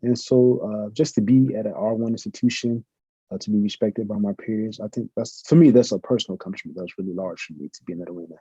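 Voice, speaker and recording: Guy, professor, talks quick and earnest, low husky voice, kinda muffled and dry. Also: dark tone, dry recording. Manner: earnest, reflective, uses many fillers. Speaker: professor and podcast guest. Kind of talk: conversational interview answers. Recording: muffled lo-fi audio.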